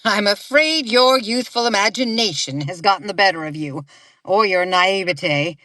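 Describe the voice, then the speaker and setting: A woman's voice: medium pitch, expressive, moderate pace, fairly bright tone, no room sound, lightly acted. A woman playing a cynical, condescending member of a secret society in an audio drama. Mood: scornful. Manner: condescending, mocking.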